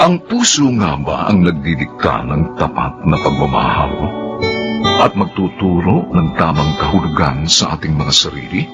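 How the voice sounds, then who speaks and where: A man's voice: very deep voice, big pitch swings, normal speed, dull audio. A man with a Filipino accent announcing a radio drama in a theatrical, declamatory way. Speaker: announcer and host. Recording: loud guitar music underneath.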